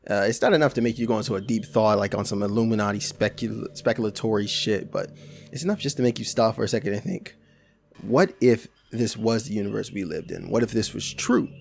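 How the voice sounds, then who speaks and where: Gravelly young man with casual commentary. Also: on the low side, brisk, slight background noise. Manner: casual, rambling, frequent restarts and slang. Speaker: YouTube commentator.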